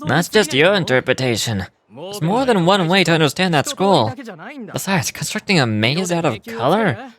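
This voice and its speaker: A scornful, argumentative skeptic from a fantasy game tribe, acted by a woman. The voice is pushed lower and moves animatedly, with a crowd behind. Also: big pitch swings, moderate pace. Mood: skeptical. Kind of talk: game dialogue read aloud.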